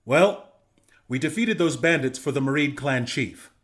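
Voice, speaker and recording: Earnest young rebel griffin. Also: male voice, mid-range voice, lively intonation, measured pace, a bit bright. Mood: measured, strategic. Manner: conversational, plainspoken, earnest. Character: earnest griffin rebel, male former soldier plotting against a cult. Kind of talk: video game character dialogue.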